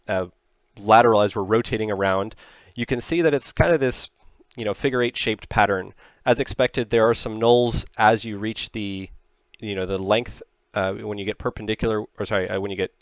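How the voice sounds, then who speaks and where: A man in his thirties giving an explanatory technical slide presentation in a conversational, measured manner. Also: lowish voice, clear, resonant tone, dry recording. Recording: slightly dull, band-limited sound.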